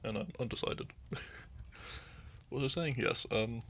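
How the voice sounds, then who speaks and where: A man's voice: mid-range voice, unhurried, fairly bright tone, slight background noise. A young man talking to his listeners in a meandering, unscripted ramble. He laughs often, loses his thread, and sips wine as he goes. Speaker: audio content creator.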